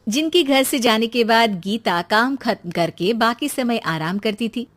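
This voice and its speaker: A woman narrating a cartoon story with a high, animated voice that rises and falls freely. Her delivery is expressive and lively, as scripted storytelling. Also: a little slow.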